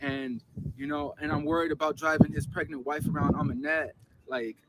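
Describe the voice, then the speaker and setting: A man from Los Angeles earnestly telling a long, rambling story on a casual podcast, his voice jumping up when he gets animated. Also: fairly high pitch, normal speed, heavy background noise.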